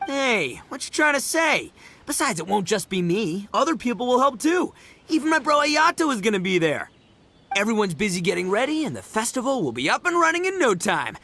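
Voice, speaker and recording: A man's voice: extremely high pitch, sing-song, fairly quick, sharp tone, exaggerated voice. A man voicing a brash, boastful but good-hearted young gang leader in an anime game, swaggering and slangy. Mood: boastful, excited.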